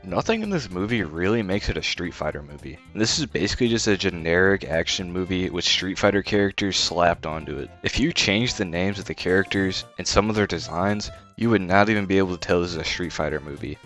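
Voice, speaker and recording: Low voiced guy doing a scripted video review, blunt and informal, faint music under him. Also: lively intonation, a little fast, few pauses, fairly bright tone. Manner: blunt, disparaging, informal with swearing. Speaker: video essay host and film critic.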